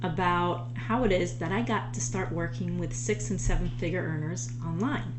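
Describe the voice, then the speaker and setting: A woman in her thirties, Israeli-born, telling a casual story to camera in a chatty, animated way with frequent laughs. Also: lowish voice, moderate pace, music in the background. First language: Hebrew. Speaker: online marketing coach. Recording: echoey room with steady hum.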